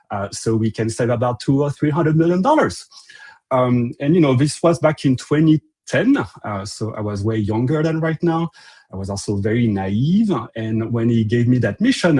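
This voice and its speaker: A man's voice: mid-range voice, a little mellow, husky. A middle-aged man with a French accent presenting a company webinar in a loose, conversational way, with frequent fillers and restarts. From France. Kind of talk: presentation to an online audience.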